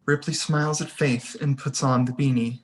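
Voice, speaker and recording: A woman's voice: a bass voice, fairly even intonation, normal speed, a little hiss or hum. A woman reading screenplay stage directions as the narrator of a table read.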